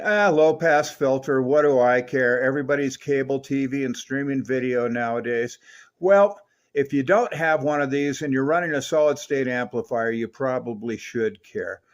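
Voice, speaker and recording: An older man reviewing a vintage CB radio in a relaxed, knowledgeable, folksy manner, also chatting on air as a radio operator. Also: mid-range voice, lo-fi sound. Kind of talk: technical review narration and on-air radio chat. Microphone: stock desk microphone on the radio.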